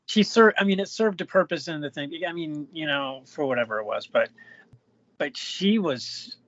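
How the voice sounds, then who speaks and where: A man co-hosting a film podcast, talking casually in halting phrases with lots of restarts and "you know"s. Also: on the high side, animated, fairly bright tone. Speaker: podcast co-host. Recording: dry, slightly dull podcast mic.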